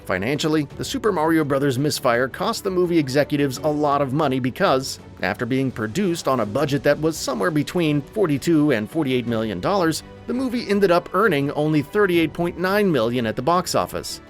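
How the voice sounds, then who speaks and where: Lively, higher-pitched male countdown narrator. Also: fairly quick, hardly any pauses, soft background music. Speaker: narrator of a film countdown video. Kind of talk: scripted narration.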